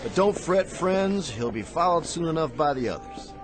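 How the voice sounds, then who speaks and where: A man's voice: a little high, expressive, over music, natural voice. An actor playing a courteous, insinuating miracle worker and con man in a radio drama. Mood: smooth, courteous. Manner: courteous, insinuating, drawn-out mock politeness. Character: smooth-talking, sly male miracle worker and con man.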